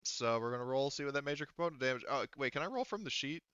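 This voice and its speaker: A man acting as game master, narrating play and rules casually in a halting, thinking-aloud way. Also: medium pitch, expressive, fairly bright tone, smooth, no room sound, highs cut off.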